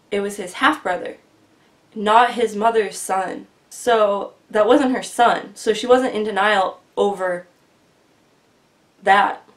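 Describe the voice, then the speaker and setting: A woman in her twenties earnestly telling a long personal story in casual, rambling vlog style, her voice often dropping quieter, recorded on a webcam in a small bedroom. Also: mid-range voice. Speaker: vlogger. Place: small bedroom with bookshelf, indoors. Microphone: webcam at desk distance.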